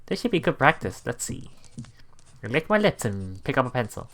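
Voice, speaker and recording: A man doing a let's play, reading story narration aloud with casual commentary, halting and chuckling as he goes, with game music behind him. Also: medium pitch, very animated, fairly bright tone. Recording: voice over game background music.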